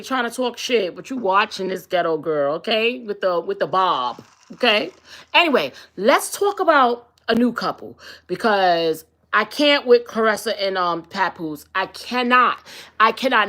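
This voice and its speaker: Brash New York woman with a bright voice. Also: fairly high pitch. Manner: blunt, profane, sassy. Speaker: live-stream host. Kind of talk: casual live-stream chat reading comments.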